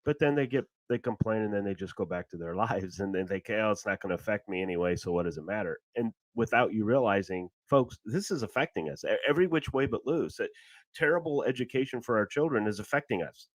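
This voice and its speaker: Low-voiced folksy male co-host. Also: lively intonation, quick pace, dry recording, poor audio. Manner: folksy, addresses listeners as folks. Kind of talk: political talk show chat.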